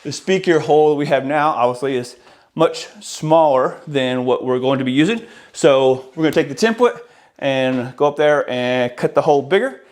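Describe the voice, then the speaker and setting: A man's voice: on the high side, normal speed. A man in his forties giving an informal, explanatory product walkthrough as an installer, casually addressing viewers. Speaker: audio installer presenting a product. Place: small room indoors, home office. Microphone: lavalier clipped on shirt.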